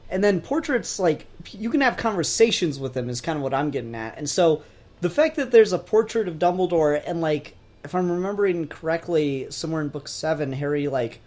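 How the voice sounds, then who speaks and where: A podcast co-host talking informally and questioningly in a casual fan discussion, with halting restarts. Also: medium pitch, expressive, a little mellow.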